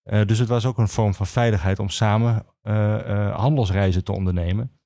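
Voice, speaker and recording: A man, an expert guest explaining things in a measured, hesitant interview style. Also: on the low side, steady tone, dark tone, dry recording, studio quality. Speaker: guest, archivist and historian.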